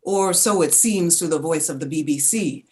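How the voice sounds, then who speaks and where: Lower-pitched woman reading lyrical prose dramatically. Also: normal speed, a little mellow. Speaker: narrator in a staged reading.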